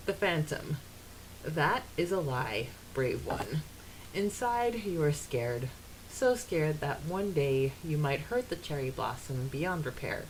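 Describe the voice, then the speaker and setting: Low-voiced woman podcaster, noisy recording. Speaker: podcast co-host. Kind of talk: casual chat; reading out quotes and listener comments.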